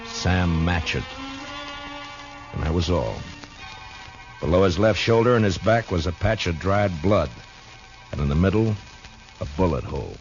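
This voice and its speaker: A deep laconic frontier marshal. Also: male voice. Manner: calm, measured, laconic. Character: Matt Dillon, steady frontier marshal. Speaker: narrator. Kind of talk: 1950s radio drama acting. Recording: dull lo-fi old radio recording with music.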